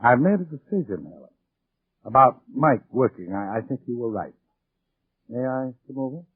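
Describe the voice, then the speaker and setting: Muffled old radio drama, sneaky fake-friend guy, earnest n halting, bit breathy, 1940s. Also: medium pitch, lively intonation, no echo. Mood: feigned concern. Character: scheming man posing as a concerned, loyal friend.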